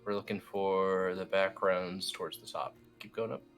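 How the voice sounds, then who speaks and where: Low-voiced man walking someone through software, music behind. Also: level tone, normal speed. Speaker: team lead explaining software steps. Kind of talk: casual step-by-step screen tutorial.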